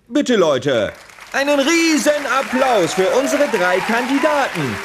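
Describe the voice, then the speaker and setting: Showy quizmaster, audio drama; slow, drawn-out theatrical delivery, wildly animated, music and audience behind. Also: male voice, very high voice, over a noisy crowd, very noisy, exaggerated voice. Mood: showy, teasing. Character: showy, teasing male TV game show host. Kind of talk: game show presenting in an audio drama.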